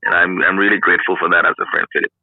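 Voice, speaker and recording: A man's voice: sits low, little pitch movement, rapid-fire, no echo. A middle-aged man reminiscing as an interview guest in casual conversation, effusive and emphatic, often repeating his phrases. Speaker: interview guest, former radio broadcaster. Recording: thin, narrow phone-like line.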